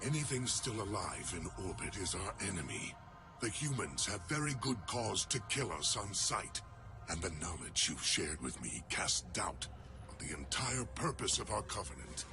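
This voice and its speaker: Solemn, deep alien warrior commander. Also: male voice, very animated, normal speed. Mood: grave, foreboding. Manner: stately, weighty, formal. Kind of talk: game cutscene acting. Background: music.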